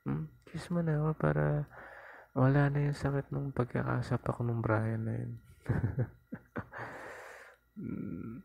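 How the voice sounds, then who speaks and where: A man's voice: mid-range voice, level tone, slow pace, vocal fry, long pauses, darkish tone, no echo, exaggerated voice. A man playing a cocky, teasing young boyfriend in an intimate ASMR roleplay, with a Filipino accent and a playful, coaxing manner. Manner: playful, coaxing, chuckling, mock-whining. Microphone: lapel mic into mobile phone, close.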